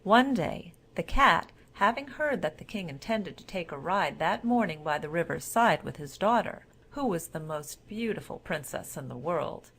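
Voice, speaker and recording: A woman's voice: on the low side, expressive, moderate pace, few pauses, a bit bright, slightly rough. A woman storyteller giving a scripted narration of a classic children's tale.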